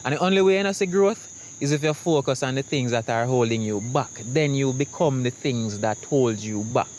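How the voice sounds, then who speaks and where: A man's voice: mid-range voice, moderate pace, darkish tone, slight background noise, poor audio. A man with a Jamaican accent chatting casually to camera as a vlogger, reflective and optimistic in a rambling way. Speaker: YouTube vlogger.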